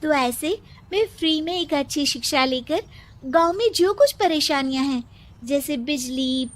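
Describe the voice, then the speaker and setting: A woman voicing an earnest, hopeful young student girl from a village, explaining herself in a story. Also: high-pitched.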